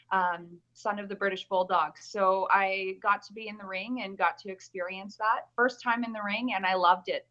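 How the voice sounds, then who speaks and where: A woman's voice: mid-range voice, pushed and bright. A woman from Calgary with a mild Albertan Canadian accent, chatting earnestly as an interview guest with frequent ums. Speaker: guest, nurse and pageant winner. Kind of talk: casual interview answers. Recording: dull lo-fi call audio.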